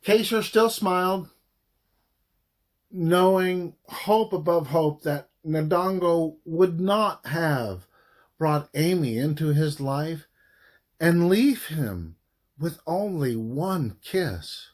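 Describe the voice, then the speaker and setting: Male audiobook narrator, historical fiction. Unhurried, dramatic delivery with pauses and very lively intonation. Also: on the high side. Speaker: author reading own book aloud.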